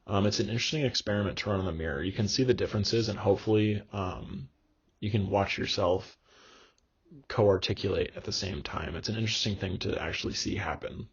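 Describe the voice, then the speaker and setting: A young man giving a recorded course lecture, explaining in a measured way with frequent ums and uhs. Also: lowish voice, husky. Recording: dull lo-fi recording.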